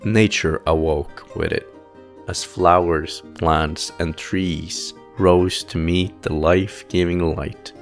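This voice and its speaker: A man narrating a fantasy story as a scripted audio play, with a solemn, measured, literary storytelling delivery. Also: sits low, steady tone. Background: music.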